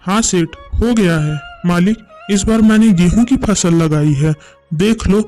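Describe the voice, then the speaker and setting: A man voicing a humble, hardworking village farmer in an animated moral story, speaking in an earnest, deferential way. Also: sits high, measured pace, faint music behind. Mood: respectful. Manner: earnest, deferential to his master.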